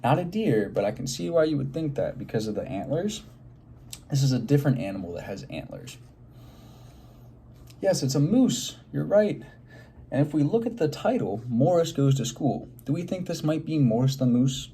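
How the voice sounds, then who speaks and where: Guy reading a kids book, super expressive, soft mellow tone, bit of background noise. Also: medium pitch, moderate pace. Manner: expressive, prompting, simple child-directed phrasing. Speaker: teacher reading aloud to children. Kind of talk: animated picture-book read-aloud with questions to children.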